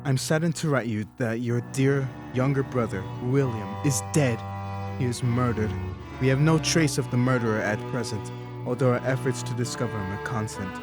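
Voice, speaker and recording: A man's voice: mid-range voice, normal speed, over music, close to an everyday voice. An actor playing a grieving older father, solemnly and formally reading a letter in a radio play. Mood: solemn grief.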